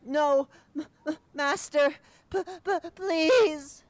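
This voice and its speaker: A man's voice: extremely high pitch, takes it slowly, no room sound, pitched above the performer's own voice. A man voicing a terrified, coughing demonic entity that stammers and begs for mercy. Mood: shrieking despair. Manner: stutters and coughs, begging in terror. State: coughing and hacking.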